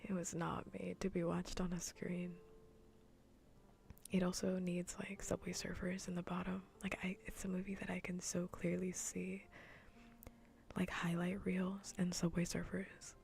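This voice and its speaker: A woman with a fairly low voice hosts a casual ASMR livestream. She speaks softly and breathily, mostly in a whisper. Her talk is informal and rambling, with phrases repeated for emphasis. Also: monotone, measured pace, creaky. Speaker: livestream host. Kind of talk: soft-spoken ASMR casual livestream chat.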